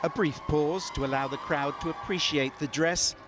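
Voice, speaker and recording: A man reading a scripted television news report in a measured, storytelling way over a close mic. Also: a little high, fairly even intonation. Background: music under the narration.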